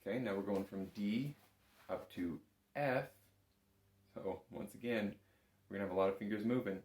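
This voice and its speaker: Guy with a low gravelly voice patiently walking thru an instrument lesson, kinda echoey room. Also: normal speed, darkish tone. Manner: patient, careful explaining. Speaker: music instructor. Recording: roomy, echoing room sound.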